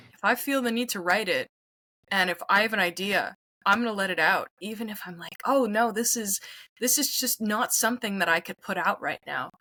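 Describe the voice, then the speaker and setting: A young woman with a very bright, forward voice speaks candidly in an interview. She sounds youthful and reflective, recorded close and dry, with an average pitch for a woman. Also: no room sound. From Quebec, Canada. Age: 20s. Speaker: guest, singer-songwriter.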